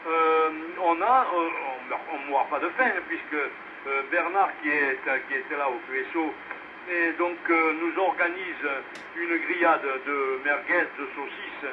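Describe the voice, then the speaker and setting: A man hosting a casual amateur radio net, speaking in hesitant, halting phrases over a radio relay. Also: fairly high pitch. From France. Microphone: two-way radio microphone. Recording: noisy narrowband amateur radio relay transmission.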